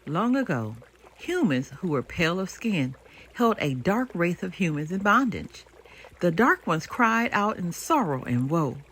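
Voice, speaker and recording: A woman's voice: on the low side, big pitch swings, measured pace, fairly bright tone, slight background noise. An older woman voicing a mighty, ancient river in a storybook, grumpy yet indulgent, with solemn, weighty delivery. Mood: solemn, wistful.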